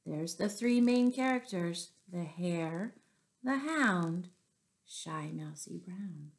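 A middle-aged woman reading picture books aloud expressively to young children, pausing to explain words. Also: on the low side, expressive, creaky. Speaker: children's storyteller and teacher. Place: small room at home, indoors.

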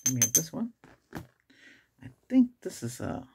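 Middle-aged man, soft ASMR exam roleplay, gravelly and breathy, unhurried, mid pitch with lively movement. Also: noisy background. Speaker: ASMR performer playing an ER doctor examining a patient. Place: small indoor setup with cloth backdrop.

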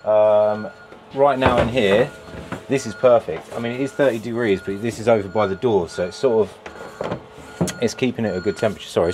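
A deep, low-pitched British man in his thirties talks through his setup in a loose, rambling way, full of ums and restarts. He is recorded on a lapel mic inside a metal food van. A faint background ambience sits under his voice. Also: background noise. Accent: British English. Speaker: pizza van owner. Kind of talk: casual vlog walkthrough. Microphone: lapel mic, camera on a shelf.

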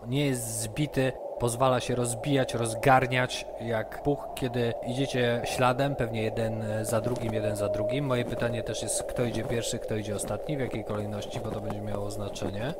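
A man narrating slowly and descriptively as game master of an online tabletop session. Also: mid-range voice, level tone. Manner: slow, descriptive. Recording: loud background noise.

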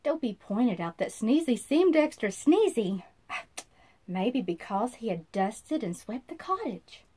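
A woman in her thirties reading a children's storybook aloud in an expressive, sing-song manner, as if to young listeners. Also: a little high, a little slow, slightly rough. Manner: sing-song, animated, asks listeners questions. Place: small indoor room.

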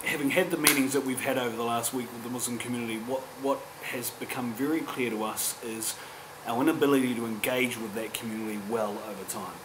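A New Zealand man in his forties speaking on a public panel, earnest and halting, with frequent restarts. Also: mid-range voice, steady tone. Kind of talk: panel discussion. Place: university lecture room. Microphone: table microphone, distant. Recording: echoey room with background hum.

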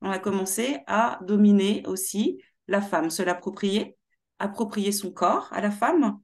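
A young woman from Paris with Martinican roots, speaking as a guest in an animated interview over a video call. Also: medium pitch, a little slow. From Martinique heritage, born in Paris. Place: small room at home.

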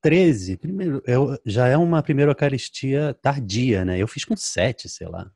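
A man in his thirties with a light Rio de Janeiro accent, hosting a podcast interview in a relaxed, curious way. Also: mid-range voice, moderate pace, gravelly, breathy edge, no room sound. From Rio de Janeiro, Brazil. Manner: relaxed, curious, laughing along. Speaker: podcast host. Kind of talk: casual interview. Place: home studio with shelves. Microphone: studio mic on boom, headphones.

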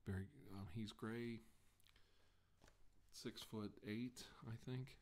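A man in his forties doing a soft ASMR role-play of a formal deposition, speaking haltingly and formally, with hesitant pauses. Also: lowish voice, fairly even intonation. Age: 40s. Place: small indoor room, seated at a desk.

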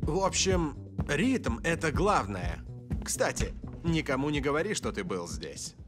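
Cool cartoon soccer star, smooth n cocky, kinda high voice, music behind. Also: male voice, sing-song, a little slow. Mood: relaxed, private. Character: cool, suave, confident young male football star. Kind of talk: animated cartoon dub.

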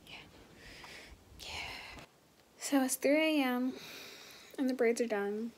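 A teenage girl chatting casually to viewers in a vlog, her voice a bit raspy, filmed on a phone held close in a small bedroom. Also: high-pitched, takes it slowly, a little hiss or hum. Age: late teens. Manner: chatty, rambling, addresses viewers as guys. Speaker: vlogger. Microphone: phone held close at arm's length.

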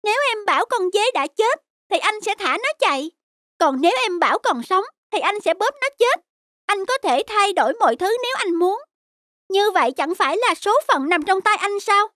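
A woman's voice: very high voice, animated, moderate pace, pushed and bright, no room sound, clean studio sound, heavily acted. A woman voicing a polite, clever little village boy in a cartoon. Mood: confident. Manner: polite, childlike. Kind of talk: cartoon voice acting.

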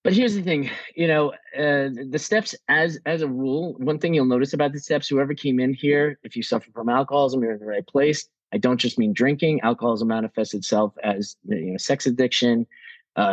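A man's voice: medium pitch, no echo. A man from New York, a guest speaker telling his recovery story in a conversational, rambling way, full of restarts. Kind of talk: personal recovery story share. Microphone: video call. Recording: dull, dry video call audio.

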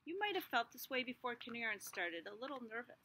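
A middle-aged woman teacher reading a picture book aloud to young children outdoors, expressive, with small asides to the class. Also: fairly high pitch, fast talker, slight background noise. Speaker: kindergarten teacher. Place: outdoors in a backyard under trees. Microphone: device camera at arm's length.

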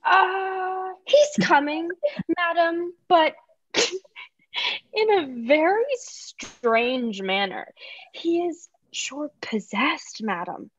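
A young woman acts out a mischievous maidservant in a stage comedy. She is very high and drawn out, giggly and exaggerated, on a dull video call. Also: animated, extremely slow, no room sound, exaggerated voice. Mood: feigned concern. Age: young adult. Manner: giggly, breaking into laughter, exaggerated. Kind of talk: Shakespeare reading over video call. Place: living room sofa, video call.

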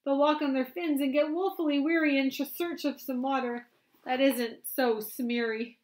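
A woman reading a picture book aloud, voicing a small grumpy old male forest creature who coughs and scolds slowly. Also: high voice, fairly even intonation, darkish tone, pitched above the performer's own voice, natural voice. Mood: mournful. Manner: scolding, sniffling, slow and drawn out.

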